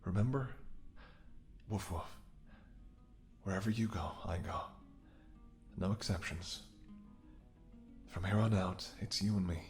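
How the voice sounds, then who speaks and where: A man's voice: low-pitched, steady tone, unhurried, long pauses, soft background music. A man acting in a romantic audio roleplay as a devoted, stubborn ex-bodyguard, speaking earnestly and intimately with emotional intensity. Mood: soft, devoted. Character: devoted gruff ex-bodyguard, fiercely loving, stubborn and protective.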